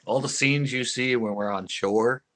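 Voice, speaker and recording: A man's voice: medium pitch, normal speed, a bit bright, no room sound. A man hosting a fishing channel, chatting casually on a live stream, rambling with many fillers and restarts and laughing often.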